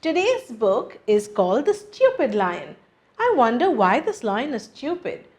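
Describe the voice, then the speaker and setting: Lively high-pitched woman reading a children's story. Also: measured pace. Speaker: children's storytime host and narrator. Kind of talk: expressive picture-book read-aloud for children.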